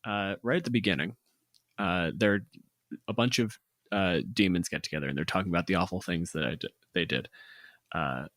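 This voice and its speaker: A man's voice: sits low, moderate pace, fairly bright tone, no echo, clean studio sound. A man talking through plans in an informal, sweary podcast conversation. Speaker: podcast co-host, physicist. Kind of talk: casual planning chat.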